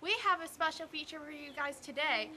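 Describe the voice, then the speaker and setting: A woman's voice: sits high, fairly quick, background noise. A young woman casually announcing a feature in an amateur newscast.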